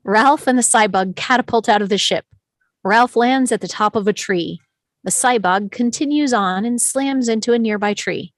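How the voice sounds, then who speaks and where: A woman reading scripted stage directions as narrator at an animated film table read. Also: on the high side, normal speed, no echo.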